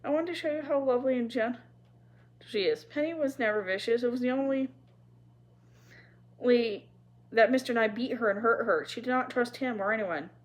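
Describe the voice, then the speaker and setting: A woman's voice: fairly high pitch, normal speed, pauses often, close to an everyday voice. A woman voicing an earnest, stubborn young girl, eager and pleading, in a story read aloud.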